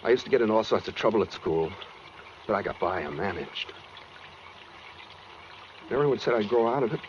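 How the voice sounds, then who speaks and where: An American man playing a brooding, coldly calm escaped killer in a 1940s radio suspense drama, speaking matter-of-factly with quiet menace. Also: fairly low pitch, brisk, close to an everyday voice. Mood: bitter reminiscing. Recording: dull 1940s radio recording with noise.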